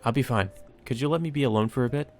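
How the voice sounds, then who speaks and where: A man's voice: medium pitch, fast talker, lightly acted. Man voicing an anxious, guarded teenage girl. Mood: uneasy, subdued. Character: anxious, guarded teenage schoolgirl in a visual novel. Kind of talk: character reading in a visual novel.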